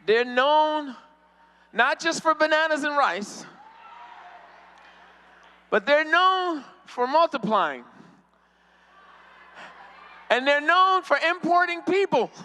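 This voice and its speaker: A man's voice: very high voice, animated, takes it slowly, pauses often, bright, forceful tone. A man doing stand-up at a convention, teasing the crowd and laughing often. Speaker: stand-up comedian. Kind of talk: stand-up comedy. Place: convention hall stage.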